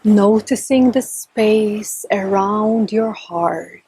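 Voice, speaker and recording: A woman speaks as a meditation teacher, extremely slowly and gently. Her voice is very breathy and soft, with a mellow tone. She gives calm instructions in short phrases, leaving long pauses between them. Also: medium pitch, no echo, rough recording. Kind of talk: guided meditation, slow and instructional.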